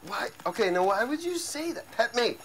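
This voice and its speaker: Bright, swooping drunk reindeer. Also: male voice, very high-pitched, very animated, normal speed, slight background noise, exaggerated voice. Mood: drunkenly affectionate. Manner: slurred, rambling, whining. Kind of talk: comedy sketch acting.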